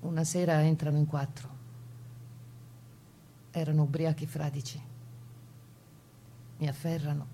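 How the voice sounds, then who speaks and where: An actress playing a captured partisan woman who recounts her ordeal in a grave, intimate, husky stage monologue. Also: low-pitched, mellow, slight background noise. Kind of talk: dramatic monologue of wartime testimony.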